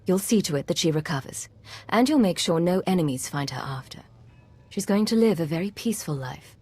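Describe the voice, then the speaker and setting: Husky, steely female secret agent. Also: fairly low pitch, lively intonation, brisk, darkish tone. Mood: firm. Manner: controlled, serious, direct. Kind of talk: cinematic video game dialogue.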